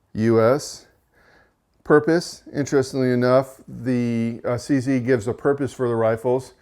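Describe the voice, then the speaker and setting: A man hosting his own channel, giving a casual, unhurried product review in a monotone voice, with frequent fillers and self-corrections. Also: mid-range voice, smooth. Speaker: rifle reviewer and channel host.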